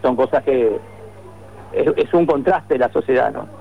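A man's voice: medium pitch, normal speed, gravelly. A man reporting from the field for radio, speaking conversationally with many fillers. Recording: dull radio line, faint hum.

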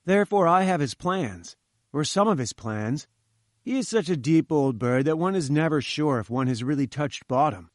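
Male reader playing a brisk, knowing young pressman; bright, high and eager. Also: lively intonation, no echo, clean studio sound, close to an everyday voice.

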